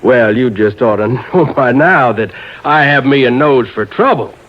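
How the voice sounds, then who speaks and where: Through a thin, narrow vintage radio recording comes a man acting a crafty old country insurance agent. He has a rural American accent and tells things in a folksy, halting, drawn-out way, nervous underneath, in old-time radio drama style. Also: mid-range voice, lightly acted. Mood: uneasy. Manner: folksy, hesitant, drawn-out storytelling.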